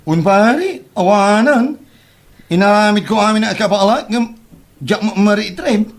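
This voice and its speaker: A man's voice: high voice, measured pace. A man playing a boastful, debt-ridden Ilocano man who whines and stammers in a comedy drama. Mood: worried, defensive. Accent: Filipino, Ilocano. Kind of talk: comedy audio drama acting.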